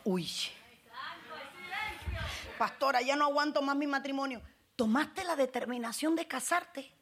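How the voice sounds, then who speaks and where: High-pitched Cuban woman preaching. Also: measured pace, noisy background. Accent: Cuban Spanish. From Cuba. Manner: preaching with call-and-response, rising to emphatic high bursts. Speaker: pastor. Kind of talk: sermon.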